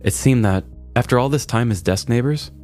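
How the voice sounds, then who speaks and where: A man's voice: medium pitch, brisk, a little mellow, faint music behind. A man, a podcast host, narrating a scripted comic story in a wry, dramatic storytelling manner.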